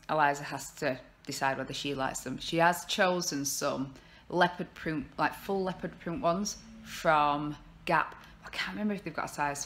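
A chatty English woman in her thirties talks straight to a camera held at arm's length as she sits on her sofa at home. Her voice sits low, and her talk rambles easily along with plenty of ums. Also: a bit bright. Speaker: vlogger. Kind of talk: casual chatty vlog. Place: living room sofa at home.

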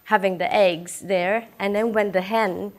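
A woman's voice: medium pitch, fairly even intonation, measured pace. A woman in her thirties from Malaysia, Chinese her first language, with a Malaysian accent, giving a chatty, personal keynote talk to an audience while feverish. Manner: chatty, personal, laughs often, frequent "uh" fillers. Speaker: keynote speaker. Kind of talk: inspirational talk. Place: lecture room with audience.

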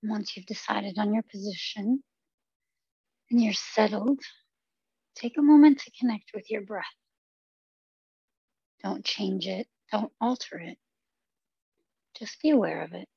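A woman leads a guided meditation as a yoga teacher, her voice very husky and breathy with a creaky edge. She gives gentle, unhurried instructions and leaves long pauses between phrases. The recording is dry and somewhat muffled. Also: mid-range voice, no echo. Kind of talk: guided body scan meditation.

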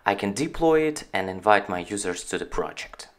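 Man narrating a software walkthrough. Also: fairly low pitch, normal speed. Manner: steady, explanatory walkthrough. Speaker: company founder presenting a product. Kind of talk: screen-recorded software tutorial. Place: voice-over on a screen recording.

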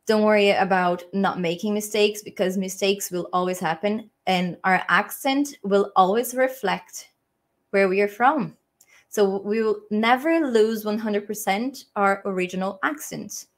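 A woman's voice: mid-range voice, measured pace. Brazilian-accented woman streaming English tips. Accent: Brazilian Portuguese. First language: Portuguese. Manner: hesitant, many ums and restarts, friendly. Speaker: English teacher. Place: small room at home, indoors. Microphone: webcam framing, desk mic.

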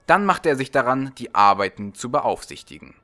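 A man with a medium-pitched voice reads a story aloud. He delivers scripted narration in the manner of an audiobook reader. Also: very animated, normal speed, bright, forceful tone.

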